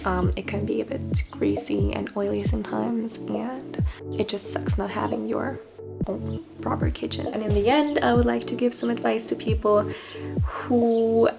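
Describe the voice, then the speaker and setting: Candid young woman vlogging, gravelly edge. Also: on the high side, expressive, moderate pace, over loud music. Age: young adult. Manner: candid, self-deprecating, laughs often. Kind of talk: casual reflective vlog talk.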